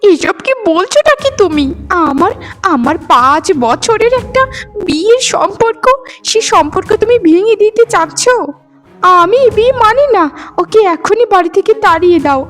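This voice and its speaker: A woman's voice: very high-pitched, animated, normal speed, faint music behind. A woman voicing an emotional, melodramatic young married daughter in a cartoon, with pleading delivery. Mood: outraged. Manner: pleading, emotional, repeats phrases for pathos. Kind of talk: Bengali cartoon voice acting.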